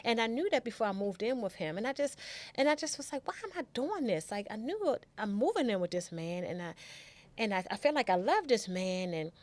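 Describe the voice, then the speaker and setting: A woman's voice: medium pitch, sing-song, brisk. A middle-aged American woman telling a personal story as a podcast guest, chatting casually, acting out conversations and repeating phrases for emphasis.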